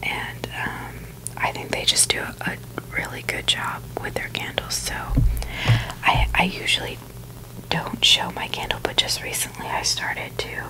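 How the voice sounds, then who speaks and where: A woman's voice: a little slow, low-quality recording. Female ASMR creator, fully whispered delivery, informal, opinionated, loosely rambling product-review style.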